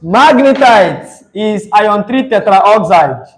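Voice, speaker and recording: A man's voice: high voice, expressive, slow. A young Nigerian man with a Nigerian accent, teaching a chemistry class live, chatty and teasing, slipping into Pidgin. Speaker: chemistry tutor.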